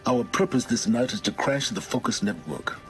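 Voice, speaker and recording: An actor playing a secretive, self-serving middle-aged man who guides with measured, cryptic authority in a video game. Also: medium pitch, lightly acted. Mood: measured, scheming. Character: secretive, commanding male informant serving his own interests. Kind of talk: dramatic video game acting. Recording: music behind the voice.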